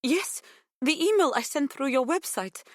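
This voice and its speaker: A woman voicing a warm, chatty older mother with a Greek accent, in audiobook dialogue. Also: high-pitched, animated, unhurried, no room sound, clean studio sound, voice pushed up. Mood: excited, gushing. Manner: gushing, chatty, affectionate.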